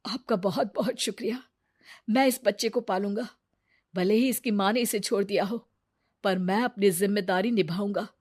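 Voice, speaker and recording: Gentle cartoon single father, played by a woman: grateful, responsible, earnest, measured delivery. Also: mid-range voice, close to an everyday voice. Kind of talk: Hindi cartoon moral story dialogue.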